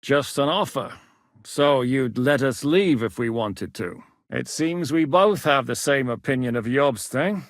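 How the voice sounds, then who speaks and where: An actor playing a shrewd, resolute medieval rebel captain who speaks plainly and with authority in a video game. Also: male voice, mid-range voice, animated, unhurried, no echo, clean studio sound. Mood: wry. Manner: authoritative, decisive, plain-spoken. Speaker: military leader planning operations. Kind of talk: video game dialogue acting.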